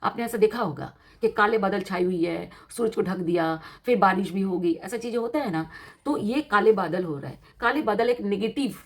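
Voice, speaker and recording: A woman's voice: medium pitch, normal speed. Indian woman reading tarot, teacherly and encouraging. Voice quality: voice slightly worn from years of teaching. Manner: repeats and rephrases points, teacherly, encouraging. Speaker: tarot card reader and host. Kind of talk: informal explanatory tarot reading addressed to viewers.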